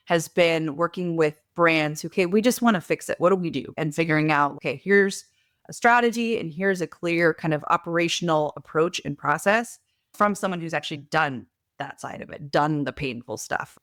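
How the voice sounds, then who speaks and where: A woman consultant answering interview questions in a brisk, conversational way, often adding "like" and "right?". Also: low-pitched, no echo, studio quality. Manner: energetic, uses "like" and "right?" often. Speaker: guest mentor, e-commerce consultant.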